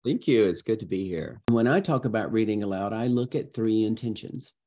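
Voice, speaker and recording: Mellow, low-voiced man explaining expressively. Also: a little fast. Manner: expressive storyteller, emphatic, illustrative. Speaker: guest, author and educator. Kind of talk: conversational interview answers with acted-out examples.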